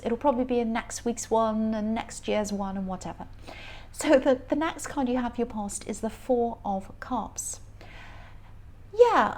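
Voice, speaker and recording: A woman with a croaky voice giving a casual, rambling tarot reading, often laughing as she talks. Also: a little high, darkish tone. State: croaky voice from stress. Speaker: tarot reader.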